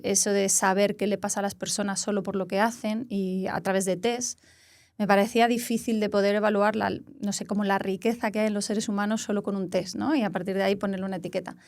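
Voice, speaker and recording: A woman's voice: medium pitch, a little mellow, dry recording. Reflective Spanish woman, dry close sound. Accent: Spanish from Castilla-La Mancha. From Spain. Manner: reflective, explains with examples and rephrases. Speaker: psychologist guest. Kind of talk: conversational interview.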